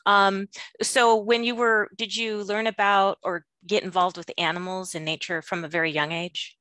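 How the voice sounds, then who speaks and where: A middle-aged woman hosting an interview over a video call, asking gentle, measured questions. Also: medium pitch, little pitch movement. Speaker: podcast host. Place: home room with window. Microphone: video call, webcam mic.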